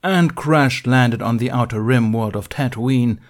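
A man's voice: medium pitch, measured pace, natural voice. A man voicing a stern senior warrior-monk who lists charges in a clipped, formal, reproachful way in an audiobook reading. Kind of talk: audiobook reading of fan fiction.

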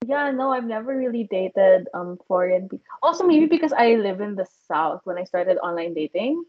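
A woman's voice: medium pitch, animated, moderate pace. A Filipino woman in her twenties with a Filipino accent, chatting casually, laughing often and filling her talk with "like". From Philippines. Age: 20s. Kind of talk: casual chat. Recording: dull lo-fi sound.

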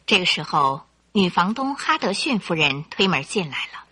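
A woman narrator telling a scripted radio drama story with expressive delivery. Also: on the low side, moderate pace, background noise.

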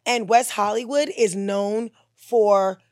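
A woman's voice: medium pitch, steady tone, takes it slowly, darkish tone, no echo. A young woman co-hosting a casual podcast about bar life. She gushes, repeats herself and restarts her sentences a lot. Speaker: podcast co-host and bartender. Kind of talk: casual chat.

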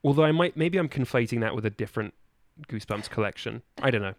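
Halting man chatting casually, very dry sound. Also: mid-range voice, lively intonation, no echo. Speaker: podcast guest. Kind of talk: casual chat.

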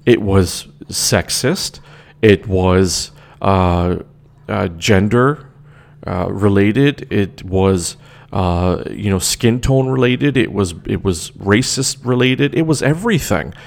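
Canadian guy doing his solo show, low gravelly voice, lots of uhs and restarts. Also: animated, takes it slowly. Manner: emphatic, many fillers and restarts. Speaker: podcast host. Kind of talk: opinionated solo commentary. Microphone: desk microphone.

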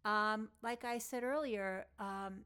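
Long island new york lady doing a solo podcast talk, teaching informally, medium pitch, leans on words and repeats them. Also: slow, a bit bright, smooth. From Long Island, New York, United States. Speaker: podcast host and yoga philosophy teacher. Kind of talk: informal solo spoken lecture.

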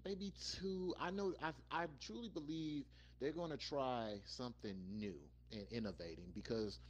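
A man co-hosting a gaming podcast, giving his opinions in emphatic, colloquial, casual talk. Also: medium pitch. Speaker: podcast co-host. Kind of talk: casual chat, slangy opinion.

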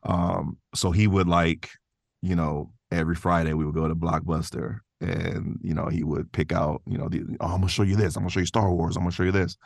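A man's voice: sits low, steady tone, fairly quick, gravelly, no room sound, clean studio sound. A man chatting casually as an interview guest, rambling and enthusiastic, often saying "man" and "you know". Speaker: podcast guest, voice actor.

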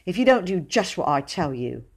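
A woman's voice: fairly low pitch, big pitch swings, moderate pace, husky, heavily acted. A woman voicing a bossy, swaggering small boy in a storybook, with a dramatic, self-important manner. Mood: commanding. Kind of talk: storybook character voice.

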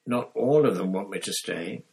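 A man reading aloud and voicing a huge, bearded half-giant who speaks hoarsely and croakily, close to tears. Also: lowish voice, moderate pace, a little mellow, a little hiss or hum. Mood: choked up, tearful.

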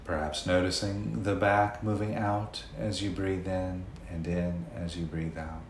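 A man leading a guided meditation in a gentle, unhurried way, leaving long pauses between phrases. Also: low-pitched, little pitch movement. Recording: roomy echo, faint background noise.

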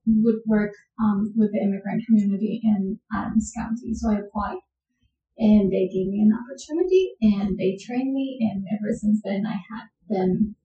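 Colombian woman heard far off, muffled. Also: mid-range voice. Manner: measured, thoughtful, many "um" pauses. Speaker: health educator, guest. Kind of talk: interview answers. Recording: dull, echoey, distant sound.

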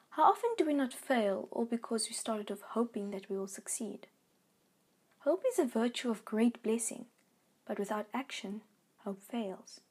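A woman reading a scripted devotional message in an earnest, measured, encouraging way. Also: fairly high pitch, darkish tone, slightly rough. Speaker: devotional reader.

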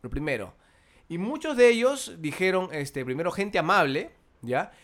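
A man with a Peruvian accent, co-hosting a casual chat and talking in an animated, irritated way with many restarts. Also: high-pitched, normal speed. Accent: Peruvian Spanish. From Peru.

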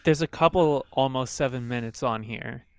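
A man's voice: mid-range voice, normal speed, a little mellow, clear, resonant tone. A man co-hosting a casual album review podcast, speaking bluntly, swearing casually and laughing easily. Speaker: podcast co-host.

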